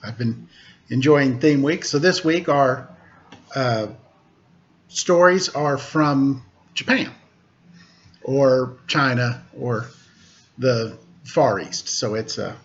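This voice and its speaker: A man hosting a storytime, reading a children's tale aloud with measured, pausing phrasing. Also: mid-range voice. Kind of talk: read-aloud storytelling with casual introduction. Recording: dull lo-fi recording.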